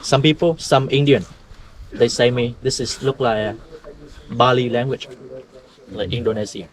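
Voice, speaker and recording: A man's voice: medium pitch, steady tone, normal speed, poor audio. A man with a Vietnamese accent, a native Vietnamese speaker, explaining things to visitors as a tour guide in simple, broken English, joking and chuckling often. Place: outdoors at ancient temple ruins.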